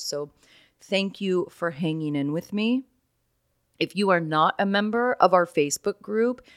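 A woman hosting a podcast on her own, her naturally raspy voice worn hoarse. She talks in an earnest, encouraging way, pitched low, and the sound is close and dry. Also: no echo. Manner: encouraging, earnest, many "right?" asides. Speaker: podcast host. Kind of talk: casual solo podcast talk.

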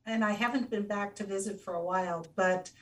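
A woman from Cincinnati hosts the podcast and reacts with enthusiasm. Her voice is mid-pitched and sits a bit away from the mic. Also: steady tone, fairly quick, far from the mic. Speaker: podcast host. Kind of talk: casual interview.

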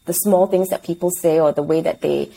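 Female interview guest, Singaporean accent, dark mellow tone, slightly low pitch, thinking aloud conversationally. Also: steady tone, a little fast, vocal fry.

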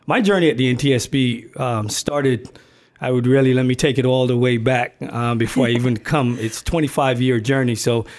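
Flat-voiced man from Barbados. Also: mid-range voice, moderate pace. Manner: hesitant, many fillers and restarts. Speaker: agency communications staffer, podcast guest. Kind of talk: casual podcast chat.

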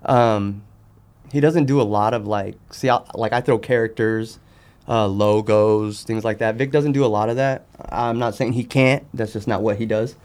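A man's voice: lowish voice, steady tone, moderate pace, darkish tone. A man chatting casually as a podcast guest, talking in a candid, slangy way. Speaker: podcast guest, sneaker customizer. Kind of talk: casual chat.

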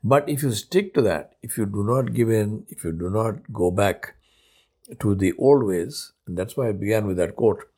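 Older Indian man, low steady voice. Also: slightly rough. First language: Urdu. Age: 70s. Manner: unhurried, thoughtful, with frequent "uh" pauses and restarts. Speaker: podcast host. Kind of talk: reflective solo podcast monologue.

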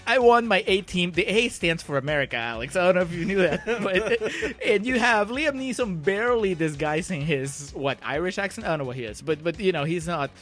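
A man's voice: high-pitched, expressive, brisk, few pauses, a bit bright. A man with a Peruvian accent, co-hosting a casual film debate podcast in a sarcastic, emphatic, argumentative way. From Peru. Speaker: podcast co-host.

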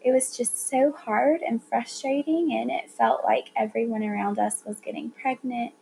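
A woman's voice: on the high side, fairly even intonation, normal speed, creaky, darkish tone, a little hiss or hum, low-quality recording. A woman in her thirties with a Southern accent, telling a personal story earnestly in a conversation. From South Carolina. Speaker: guest. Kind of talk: casual conversation.

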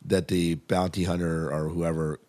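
A man's voice: low-pitched, level tone, moderate pace, a little mellow. A man hosting a podcast, chatting casually and enthusiastically about television as a keen fan. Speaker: podcast host. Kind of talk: casual TV review chat.

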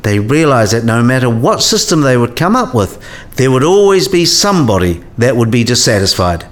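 A man acts out a cynical, sidelined professor in a radio play. His mid-range voice moves freely up and down as he explains, wry and didactic, in measured phrases. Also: mid-range voice, sing-song, lightly acted. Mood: dry lecturing. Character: cynical, sidelined male professor giving career advice.

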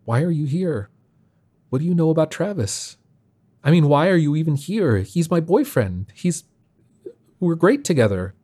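A man voicing an anxious, excitable young man who blurts incredulous questions and stammers. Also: lowish voice, normal speed, lightly acted. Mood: defensive, upset.

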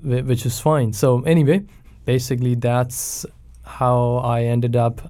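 A man in his thirties from Pakistan, a pastor telling his story in a relaxed way, with many fillers and restarts. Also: medium pitch, unhurried. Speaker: pastor, guest. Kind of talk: casual conversational interview.

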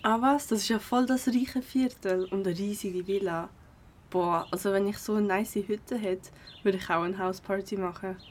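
A young woman acting as a teenage girl in a radio play, mid-pitched. She is cautious yet up for adventure and talks in casual slang, with noise audible behind her. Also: steady tone, normal speed, darkish tone, natural voice. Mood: impressed. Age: young adult. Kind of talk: amateur radio drama dialogue.

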